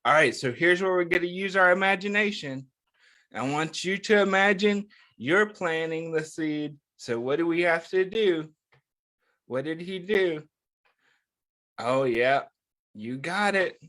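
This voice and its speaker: A man with a slightly high-pitched voice leads a children's story time at a very unhurried pace. He is encouraging and speaks directly to young listeners, asking questions and leaving room for their answers. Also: animated, bright, forceful tone. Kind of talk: interactive read-aloud for young children.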